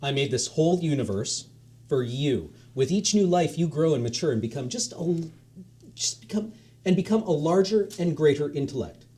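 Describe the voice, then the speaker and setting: A man's voice: on the high side, animated, moderate pace, mellow, voice pushed up, natural voice. Chill chatty god guy, kinda teacherly, voice bounces around a lot, read by a man. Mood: offhand. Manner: breezy and offhand, teacherly. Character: casual, chatty male God, patient and amused.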